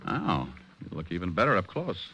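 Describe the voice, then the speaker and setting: Bright-voiced, wisecracking radio private eye. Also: male voice, low-pitched, sing-song, moderate pace, slight background noise, exaggerated voice. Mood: flirtatious. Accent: American. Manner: wry, sarcastic, hard-boiled. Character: wisecracking, cocky private detective, flirtatious with women. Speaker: detective and narrator. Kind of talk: 1940s-50s radio detective acting. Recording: old radio recording with music.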